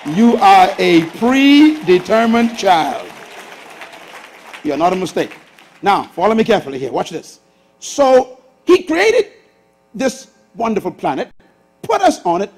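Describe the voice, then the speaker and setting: Bahamian male preacher, sixties, high voice, very lively delivery, stage lapel mic. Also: a little hiss or hum. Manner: lively preacher, shifts from loud bursts to hushed asides. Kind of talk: sermon. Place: large church auditorium stage with audience.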